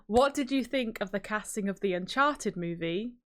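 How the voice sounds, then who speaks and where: A woman with a medium-pitched voice co-hosts a relaxed, conversational podcast. She speaks informally and gives her opinions freely. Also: moderate pace. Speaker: podcast co-host. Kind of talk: casual podcast chat about films and games.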